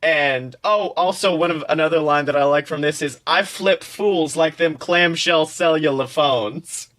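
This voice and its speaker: A young man with a bright, forceful voice that sits a little high. He chats casually and comedically as a podcast co-host, animated and slangy, swearing freely and delivering silly points with mock seriousness. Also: a little slow. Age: young adult.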